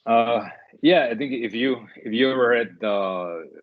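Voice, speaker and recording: A man's voice: mid-range voice, unhurried, no room sound, narrow, tinny audio. A man in his thirties from the Dominican Republic, a startup CEO answering interview questions thoughtfully, with many fillers and restarts.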